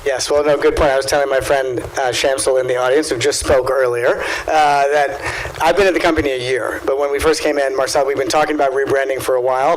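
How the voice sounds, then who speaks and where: A man speaking as a business panelist, explaining casually and haltingly, often repeating his words. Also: mid-range voice, poor audio. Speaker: marketing executive panelist. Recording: live event with clear background noise.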